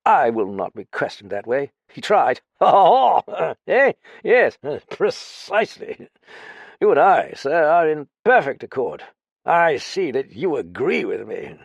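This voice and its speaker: A man's voice: medium pitch, sing-song, a little slow, creaky, slightly rough, no echo, highs cut off, voice pushed up, heavily acted. A man voicing a lanky, drunk former officer who talks with pompous dignity and vague, blustering self-importance. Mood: grandly drunk. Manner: slurred dignity, giggling, blustering.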